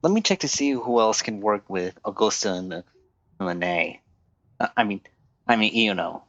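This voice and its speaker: Low-voiced man streaming, dry muffled sound. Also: expressive, moderate pace, fairly bright tone, dry recording. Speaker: game streamer. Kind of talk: casual live gameplay commentary.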